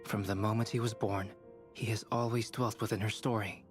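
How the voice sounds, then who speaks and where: An actor playing a composed young male scholar in a video game, measured, formal and precise as he explains. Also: fairly low pitch, steady tone, soft background music, close to an everyday voice. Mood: thoughtful, composed.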